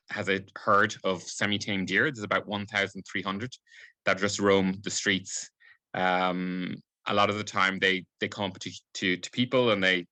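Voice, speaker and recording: Brief: Irish man, late 40s, low bright voice, halting presenter, dry sound. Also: no echo. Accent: light Irish, not strongly regional. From Ireland (Cork). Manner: hesitant, many ums and restarts. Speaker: embassy staff speaker. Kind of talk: webinar presentation.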